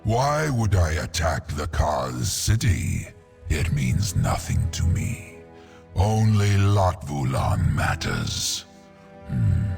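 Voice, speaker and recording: An actor playing an ancient, proud male dragon in a video game, speaking in a measured, imperious manner. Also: very deep voice, creaky, darkish tone, full character voice. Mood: dismissive. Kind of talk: dramatic video game dialogue.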